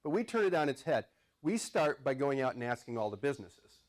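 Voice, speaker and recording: A man's voice: mid-range voice, big pitch swings, brisk, a little mellow. Male professor, wry conversational lecturer, small-room live talk. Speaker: economics professor, featured speaker.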